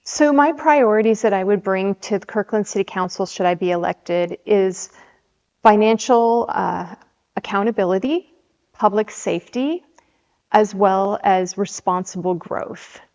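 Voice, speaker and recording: A woman from the Seattle area, a candidate in her forties, answering interview questions in a measured way with frequent ums. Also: mid-range voice, darkish tone. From Seattle area, USA. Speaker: city council candidate. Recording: dull audio with long hall reverb.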